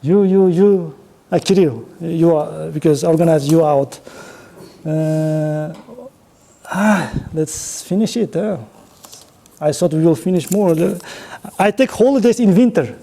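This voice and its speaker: A man with an Uzbek accent speaking halting, choppy English as he runs an informal interactive talk with an audience. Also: on the high side, steady tone, vocal fry, dark tone. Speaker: conference speaker running an audience networking game.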